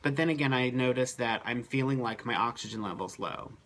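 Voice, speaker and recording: A man's voice: medium pitch, fairly quick, a bit bright. A man talking casually to the camera about his health, matter-of-fact, with many ums and uhs. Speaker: vlogger giving a health update. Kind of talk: casual first-person account.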